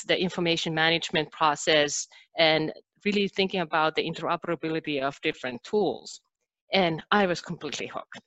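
Finnish-accented woman, low and dry. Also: a bit bright, dry recording, dull audio. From Finland. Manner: earnest, with frequent "uh" and "um" pauses. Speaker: guest. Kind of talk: conversational interview.

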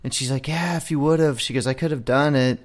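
A man's voice: medium pitch, fairly even intonation, fast. A man hosting a podcast, telling a rambling story in casual chat with a lot of swearing. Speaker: podcast host.

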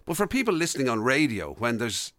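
Bright, animated Irish radio host. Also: male voice, mid-range voice, fast talker, no room sound, clean studio sound. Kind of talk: casual radio interview banter. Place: radio studio.

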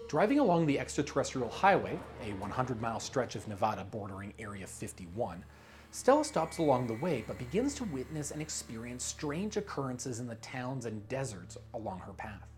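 Low-pitched animated man narrating a promo. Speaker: creative director presenting a crowdfunding pitch.

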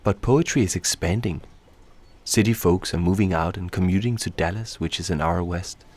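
A man from Denmark, a native Danish speaker, giving calm, reflective narration for a radio documentary. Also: low-pitched, normal speed.

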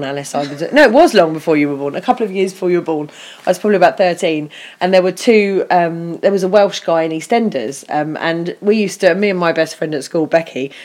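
Chatty woman, lowish voice. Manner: chatty, laughs often, many restarts. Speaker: podcast co-host. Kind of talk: casual podcast chat.

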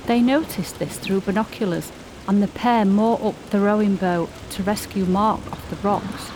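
A woman's voice: mid-range voice, normal speed, noisy background. A woman reading scripted narration for a radio play.

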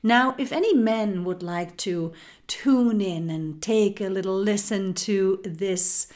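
A woman from Germany, hosting a spiritual podcast in a soft-spoken way and addressing her listeners as sisters. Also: mid-range voice, takes it slowly. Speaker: podcast host.

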